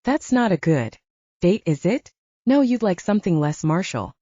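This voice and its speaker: Audiobook role: confident young man, teasing and courtly, performed by a woman. Mellow tone, slightly lo-fi recording. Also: on the low side, very animated, normal speed, breathy edge, dry recording. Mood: measured, earnest. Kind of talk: audiobook character dialogue.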